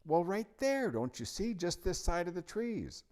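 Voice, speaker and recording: Storybook young girl, done by a male reader: raised pitch, anxious, tender, breathless, pleading. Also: big pitch swings, moderate pace, a little mellow. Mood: insistent. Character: earnest, anxious, tender-hearted little girl.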